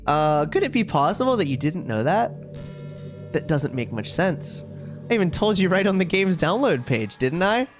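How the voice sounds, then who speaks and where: A man's voice: a little high, sing-song, normal speed. A man voicing a sweet, possessive, self-aware schoolgirl from a video game in a chatty, cutesy, confiding way. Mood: sweetly confiding. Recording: music playing behind the voice. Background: game music.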